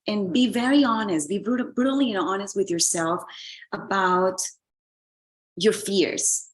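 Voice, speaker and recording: A woman's voice: medium pitch, poor audio. A woman from Colombia, a relationship coach and author, speaking earnestly as an interview guest, often repeating words as she searches for them.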